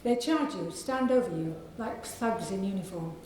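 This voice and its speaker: A woman with a soft, croaky voice, an author reading aloud from her novel to an audience in a library. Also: mid-range voice, animated, heavy reverb, a little hiss or hum, poor audio. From England. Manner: measured reading with clearing of the throat.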